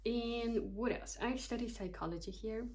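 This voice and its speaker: A woman's voice: on the high side, moderate pace, a little mellow. Chatty young woman vlogging from notes. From Kyrgyzstan. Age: 20s. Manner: chatty, self-mocking, loosely reading from notes. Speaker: vlogger, psychology student. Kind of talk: casual talking-to-camera vlog.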